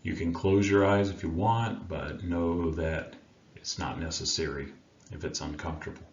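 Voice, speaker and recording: A man leading a guided meditation as a coach, speaking in a gentle, soothing manner with long unhurried pauses. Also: low-pitched, fairly even intonation. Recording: roomy, dull sound with faint background noise.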